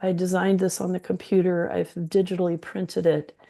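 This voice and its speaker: A woman in her sixties with a hoarse voice from the flu, presenting her quilts slide by slide with frequent ums. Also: on the low side, level tone, moderate pace, dark tone. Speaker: textile artist presenting her work.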